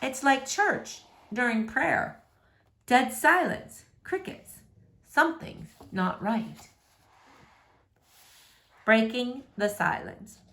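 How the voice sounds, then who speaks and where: A woman's voice: a little high, sing-song, extremely slow, long pauses, bright, forceful tone. A woman reading a novel in verse aloud, narrating expressively.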